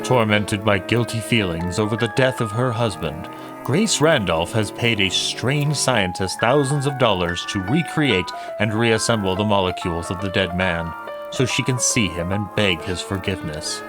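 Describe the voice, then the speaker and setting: Low-pitched male old-time radio announcer. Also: normal speed, over loud music.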